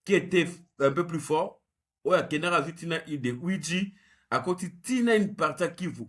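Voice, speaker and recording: A man, explaining history in a measured, analytical interview answer. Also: on the high side, a bit bright. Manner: measured and explanatory, mixing French with Lingala. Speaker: guest researcher.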